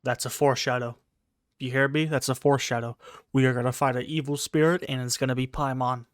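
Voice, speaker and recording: A woman's voice: rumbling low, steady tone, quick pace, no echo, clean studio sound. A woman giving casual, sarcastic and blunt commentary as she plays a game. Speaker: gaming streamer.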